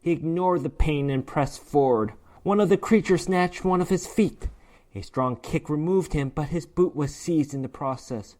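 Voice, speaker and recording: A man's voice: on the high side, darkish tone. A man narrating a horror short story as a dramatic reading, with theatrical, emphatic delivery.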